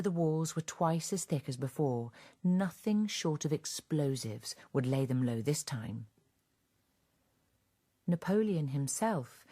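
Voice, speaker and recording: A woman narrating a radio drama in a measured, scripted storytelling manner. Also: low-pitched, mellow.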